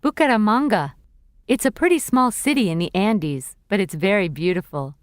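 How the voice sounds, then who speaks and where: An actress playing a cheerful young female university student in a scripted textbook dialogue, speaking clearly and expressively. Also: mid-range voice, animated, normal speed, dry recording, clean studio sound, natural voice. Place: recording studio.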